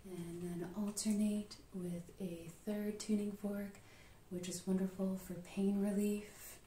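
A woman in her thirties leading an ASMR reiki session in a small treatment room, whispering soft, slow, soothing affirmations from a distance to the camera. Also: medium pitch, flat delivery, roomy sound, slight background noise. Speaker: reiki practitioner. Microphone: far camera.

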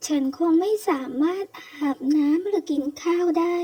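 A woman plays a very high, childlike girl heroine from a comic read-aloud. She is kind and chatty, and speaks with an earnest manner. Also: normal speed, warm tone.

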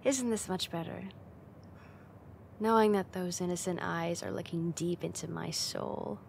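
Casting: actress as teasing young female roommate, ASMR roleplay, unhurried, mid-pitched, playful and affectionate, close-miked with some background noise. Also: creaky. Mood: tender, sincere. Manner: teasing, intimate, giggly, slow and coaxing.

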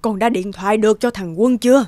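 A woman's voice: medium pitch, quick pace, dark tone, soft background music, natural voice. A woman playing a worried, caring grandmother in a cartoon drama.

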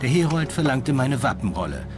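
An actor playing a proud, landless medieval knight who recounts his adventures in a deliberate, solemn documentary drama style. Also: medium pitch, sing-song, close to an everyday voice. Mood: solemn, reminiscing. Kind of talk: dramatised first-person storytelling. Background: loud music.

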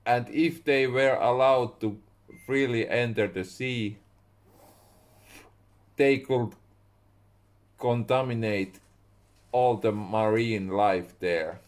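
Slow halting Finnish-accented man reading. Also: medium pitch. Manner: halting, rereads and corrects his words. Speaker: author reading his own book. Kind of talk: slow reading of a story aloud. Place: small room indoors at home.

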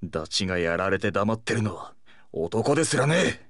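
Brash, wildly swinging teenage delinquent. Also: male voice, lowish voice, sing-song, moderate pace, fairly bright tone, no echo, clean studio sound. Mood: firm, earnest. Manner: rough, cocky street-tough speech. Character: brash hot-headed teenage boy delinquent brawler, stubborn and protective. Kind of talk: anime fighting game voice acting.

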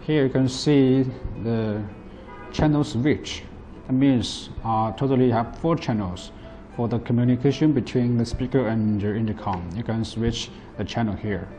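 Guy doing a product demo, non native english, mellow voice, mid pitch, kinda halting, bit of music behind. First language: not English. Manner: plain, halting, step-by-step explaining. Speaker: product presenter. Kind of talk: product demonstration. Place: indoor office at a table.

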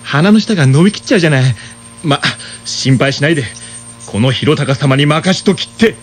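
Man as a cocky young suitor, swooping pitch. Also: animated, a little fast, over music, close to an everyday voice. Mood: confident. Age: young adult. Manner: boastful, wheedling. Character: cocky, earnest young suitor, easily rattled. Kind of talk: comic radio drama acting.

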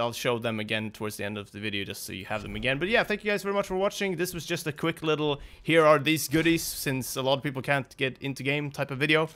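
A man's voice: on the high side, bright, forceful tone. A young man hosting a gaming video, talking off the cuff in a casual, hesitant, rambling and apologetic way.

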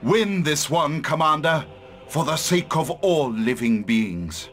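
Fantasy game doomsayer: higher register, dramatic, ominous, portentous. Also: male voice, lively intonation, fairly bright tone, soft background music, exaggerated voice. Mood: grave warning. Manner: portentous, drawn-out emphasis. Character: ominous, dramatic seer warning of evil. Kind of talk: fantasy video game cutscene acting.

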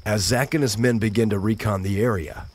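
A man's voice: lowish voice, brisk, slight background noise, close to an everyday voice. A man narrating a military thriller audio drama in a grave, scripted storytelling style.

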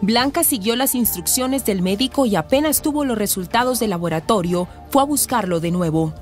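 A woman's voice: fairly high pitch, animated, fairly quick, music in the background. A woman giving scripted narration for a radio drama.

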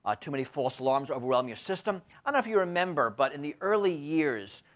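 A man in his fifties giving a university lecture, speaking emphatically and often tagging his points with a quick question, recorded on a lapel mic in a lecture room. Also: a little high. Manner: frequent tag questions, lively emphatic delivery. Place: lecture room with window blinds.

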